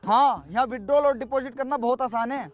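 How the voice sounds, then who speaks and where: A man playing a young villager delivering a scripted, confident advertising pitch inside a sketch. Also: a little fast. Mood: instructive, upbeat. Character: smartly dressed young villager pitching easy money. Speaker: sponsor promoter. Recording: faint music behind the voice.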